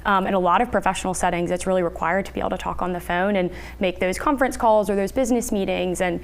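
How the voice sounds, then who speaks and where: A woman's voice: medium pitch, steady tone, fast, gravelly. Female counselor explaining, mid-range voice. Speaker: therapist guest, licensed counselor. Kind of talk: explanatory interview answers.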